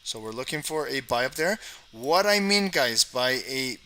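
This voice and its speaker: A man's voice: medium pitch, expressive, normal speed, sharp tone. A man from Canada hosting a live trading stream, chatting casually, talking to his viewers in the chat and tagging lines with "okay" and "guys.". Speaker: trading livestream host.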